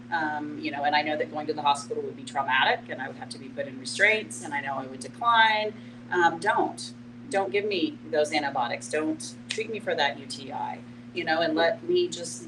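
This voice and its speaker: A woman explaining things informally in a panel discussion, often pausing to add "you know". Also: deep voice. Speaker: panelist on end-of-life care. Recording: noisy live room with hum.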